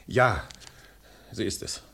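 A man's voice: slow pace, fairly bright tone. An actor playing an evasive, nervous businessman under suspicion, hesitant and defensive, in radio crime drama style. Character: evasive, nervous male suspect, indignant businessman and lover.

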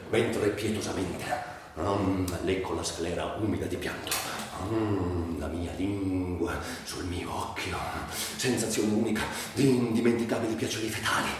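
An actor playing a bitter, sardonic, tormented man confined by illness in a theatrical monologue, volatile between muttering and declaiming. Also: deep voice. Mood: morbid relish. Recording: very echoey, distant, faint noise.

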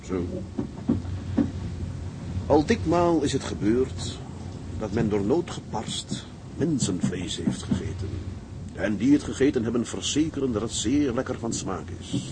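A man's voice: mid-range voice, close to an everyday voice. An actor playing a hearty, grumbling seaman who talks to himself in a radio play. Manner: talks to himself, muttering and exclaiming. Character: hearty, grumbling seaman alone aboard at night. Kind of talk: Dutch radio play acting with monologue. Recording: noisy, with boat and water sound effects.

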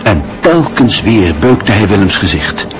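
A man with a fairly low voice reads the narration of a radio play. His delivery is measured and literary, and soft music plays faintly behind him. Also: very animated.

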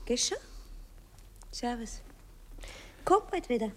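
Drowsy young Viennese streetwalker, lilting. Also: female voice, fairly high pitch, big pitch swings, takes it slowly. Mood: drowsy, dismissive. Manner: drowsy, offhand, matter-of-fact. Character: sleepy young streetwalker, carefree and pert. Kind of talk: 1960s German radio play acting. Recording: 1960s radio play recording.

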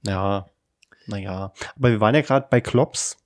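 A man's voice: fairly low pitch, moderate pace, no room sound, clean studio sound. A man from Berlin co-hosting a podcast, playful and joking, often asking questions. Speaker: podcast co-host. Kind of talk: casual chat.

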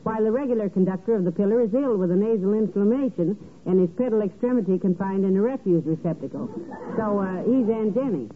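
An actress playing a wry, affectionate wife acting as secretary, dry and teasing with mock-formal wording, in 1940s radio comedy style. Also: female voice, mid-range voice, fast, lightly acted. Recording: noisy, tinny old radio broadcast recording.

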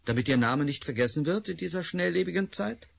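An actor playing a scornful, sneering scientist in a radio play, with a raspy voice. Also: male voice, fairly high pitch, animated, fairly quick, exaggerated voice. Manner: sneering, interrogating. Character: scornful male scientist, Doctor Bergson. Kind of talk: radio drama acting.

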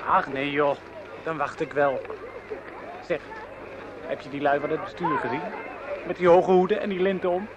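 An eager, mocking young lad, acted by a man in a radio drama. His voice is high and he gossips scornfully. Clear noise sits behind him on the recording. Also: steady tone, normal speed, long pauses, muffled recording, a crowd in the background, close to an everyday voice. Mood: chatty, mocking. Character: chatty, mocking young male skater friend. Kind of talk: radio play acting. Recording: noisy outdoor-scene radio play recording.

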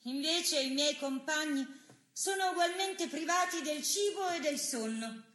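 Slow, steadfast imprisoned dissident. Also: female voice, high-pitched, a bit bright. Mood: weary, sober. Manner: deliberate, measured, solemn. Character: worn, steadfast imprisoned woman dissident, gentle, principled. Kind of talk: stylized stage theatre monologue and dialogue.

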